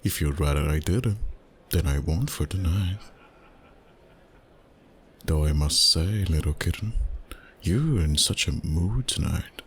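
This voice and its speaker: Male actor as vampire boss, deep low voice, dominant, seductive, teasing, courtly, intimate ASMR roleplay, slight background noise. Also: animated, full character voice. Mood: teasing, coaxing. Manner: teasing, unhurried, courtly phrasing with pet names.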